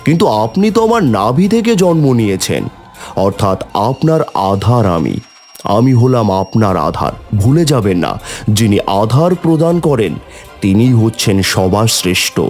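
A man voicing a calm, assured preserver god in a measured, formal way for a cartoon myth. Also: lowish voice, soft background music. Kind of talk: mythological cartoon dialogue.

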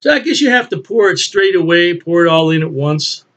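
An older man talks through a beer tasting in a relaxed, folksy way, chuckling to himself as he goes. His voice sits a little high for a man. The webcam sound is somewhat dull and lo-fi. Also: a little fast. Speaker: beer reviewer. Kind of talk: casual solo video review. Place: home office with beer memorabilia, indoors. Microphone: webcam at desk.

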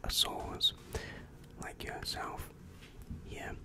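A breathy whisper, close on the mic, carries a soothing demon counselor of hell, played by a young man. He explains things patiently at a very slow pace, calm and reassuring in the manner of an ASMR roleplay. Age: young adult. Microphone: close-up microphone with foam windscreen.

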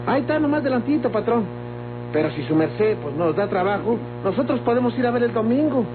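An actor playing a humble, pious peasant labourer in an old Mexican radio drama, servile and folksy with a Mexican accent. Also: male voice, very high voice, lively intonation, fairly quick. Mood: fawning, pious. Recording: tinny old radio recording, music behind.

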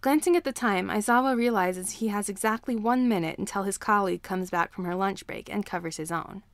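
Female story narrator, mid-pitched, reading scripted fiction in a dry, close recording. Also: fairly quick, dry recording. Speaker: fan fiction reader.

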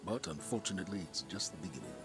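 An actor playing a stern, dutiful middle-aged military commander, speaking with measured, solemn authority in a video game. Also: male voice, low-pitched, animated, music in the background. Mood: grave, businesslike. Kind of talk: video game mission briefing.

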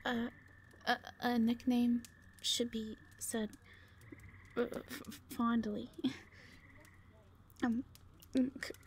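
Woman doing a shy hurt owl lady for audio drama, high breathy voice, stutters loads, nervous, some noise. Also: level tone, slow pace, long pauses. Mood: bashful, hesitant. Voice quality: soft, breathy, often whispering. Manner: timid, heavily stammering, hesitant. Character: shy, frightened, wounded owl beast-woman captive. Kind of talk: audio roleplay drama acting.

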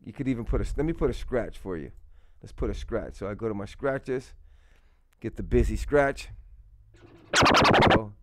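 A man's voice: lowish voice, animated, normal speed, long pauses. A man hosting an audio production tutorial, talking himself informally through each step on screen. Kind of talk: casual screen-recorded software tutorial.

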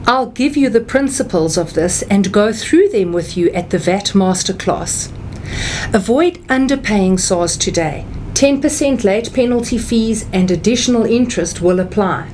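A middle-aged South African woman explaining something step by step in a measured, instructional way, as a seminar speaker. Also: medium pitch, expressive, darkish tone, slight background noise. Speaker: tax seminar speaker and writer. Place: small indoor office or meeting room.

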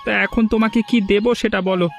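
A high-pitched, quick-talking village hotel keeper, obliging and eager to serve, as a man plays him. He sounds off-mic, with faint music behind. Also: steady tone.

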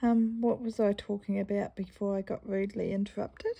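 A woman with a New Zealand accent chatting casually in a stitching vlog, rambling through a story and often clearing her croaky throat. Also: medium pitch, level tone, fairly quick, warm tone. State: croaky morning voice. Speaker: cross-stitch vlogger.

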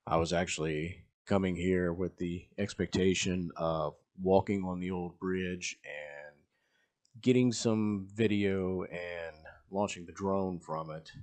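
Brief: man, very low voice, casual explanatory voice-over for travel footage. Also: steady tone, takes it slowly, a little mellow. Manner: plain, explanatory, with frequent "uh"s. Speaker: travel vlogger. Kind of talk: voice-over narration of drone footage.

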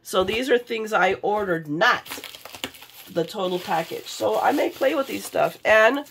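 A middle-aged American woman, sick and low on energy, chatting casually and rambling in a confiding way for a craft vlog. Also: animated, a little slow, gravelly, fairly bright tone, slight background noise. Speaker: craft vlogger. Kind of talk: casual chat in an unboxing vlog. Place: indoors at a craft table.

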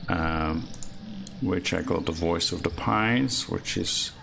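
Slow, gravelly man explaining synth patches. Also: deep voice, fairly bright tone, slight background noise. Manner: slow, halting explanation with many fillers. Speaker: modular synth patch demonstrator. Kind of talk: screen-recorded synth walkthrough. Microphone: voice-over on a screen recording. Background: synth music playing underneath.

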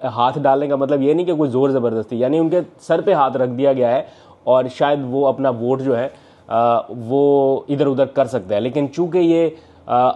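A young man records a political vlog in his living room with a lapel mic. His voice is mellow and relaxed, at a middle pitch. He talks analytically and earnestly, often pausing on "uh" fillers. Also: dull audio. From Pakistan. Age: young adult. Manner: earnest analytical talk with frequent uh fillers and hand gestures. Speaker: political journalist. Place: home living room, indoors.

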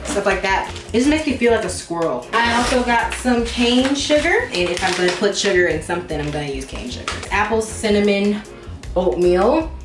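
A woman in her twenties chatting informally to viewers in slangy, playfully emphatic speech, recorded on a camera a few feet away in a home kitchen. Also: mid-range voice. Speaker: vlogger. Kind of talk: casual chat to viewers, grocery haul. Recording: echoey room, faint music behind.

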